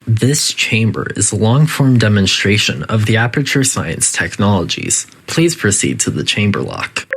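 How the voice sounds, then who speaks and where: A man's voice: fairly low pitch, very animated, moderate pace, gravelly, hardly any pauses, a bit bright, breathy edge, exaggerated voice. A man voicing a coolly polite, faintly menacing facility AI that gives formal, detached test-chamber announcements in a video game. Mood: clinical, detached.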